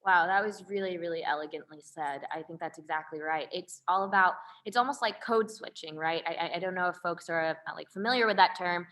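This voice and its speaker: A woman's voice: lowish voice, a little fast, few pauses, fairly bright tone. A woman moderating an online panel discussion, upbeat and conversational. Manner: upbeat, conversational, uses y'all. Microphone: video call.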